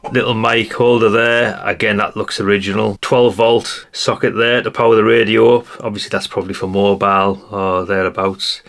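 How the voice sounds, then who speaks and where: British guy, lowish voice, chatty rambling show and tell, keeps adding little tag questions. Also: gravelly, fairly bright tone. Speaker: presenter of a vintage radio channel. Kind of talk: casual hands-on product walkthrough.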